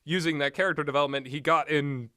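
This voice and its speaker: A man's voice: a little high, steady tone, quick pace, bright, forceful tone, dry recording, clean studio sound. A man giving rambling, informal and opinionated commentary on games, speaking off the cuff with emphatic repetition. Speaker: commentator reviewing visual novel games.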